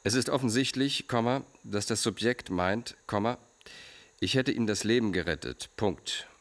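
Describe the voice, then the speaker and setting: A man acts a cool, clinical psychiatrist in a radio play. His voice is low and detached as he dictates in precise, formal phrases. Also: normal speed, lightly acted. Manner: detached, dictates punctuation aloud. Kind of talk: German radio drama acting.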